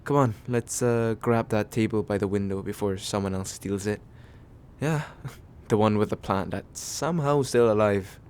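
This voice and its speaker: A man playing a nervous, kind guy on a second date, speaking haltingly and reassuringly in an ASMR comfort roleplay. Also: fairly low pitch, a little hiss or hum, lightly acted. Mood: shy, pleased. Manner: hesitant, soft-hearted, self-deprecating, halting with small pauses. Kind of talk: ASMR comfort roleplay, acted as casual chat.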